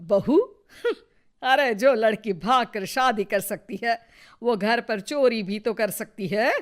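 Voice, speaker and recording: A woman's voice: fairly high pitch, takes it slowly. A woman voicing a scornful, suspicious older mother-in-law in a cartoon story, with a taunting, scolding manner. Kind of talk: cartoon voice acting.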